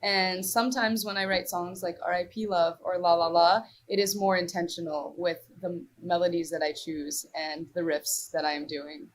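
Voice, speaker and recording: A woman's voice: fairly low pitch, flat delivery, normal speed, few pauses. Young woman, singer-songwriter, from Morocco, casual interview answers, chatty, lots of fillers. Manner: chatty, many "like" fillers and restarts.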